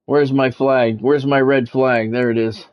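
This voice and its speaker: A man's voice: medium pitch, steady tone, measured pace. A middle-aged man doing sports video game play-by-play with blunt, sweary, exasperated reactions. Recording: dull lo-fi audio, faint background noise.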